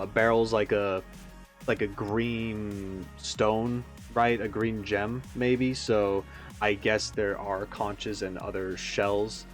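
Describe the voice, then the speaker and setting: A man giving casual, rambling analysis as a video host, musing and tentative as he thinks aloud. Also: fairly low pitch. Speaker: YouTube reaction host.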